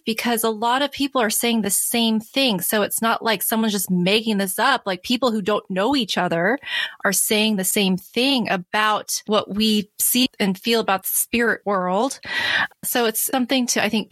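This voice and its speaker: A woman's voice: a little high, moderate pace, fairly bright tone, dry recording. A woman hosting a podcast interview, chatty and upbeat, laughing along with her guest. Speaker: podcast host. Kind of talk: casual interview chat.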